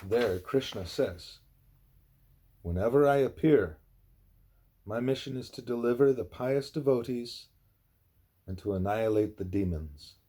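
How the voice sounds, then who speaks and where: Husky, mellow man reading aloud. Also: mid-range voice, unhurried, long pauses. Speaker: reader of a spiritual book. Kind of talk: read-aloud scripted narration.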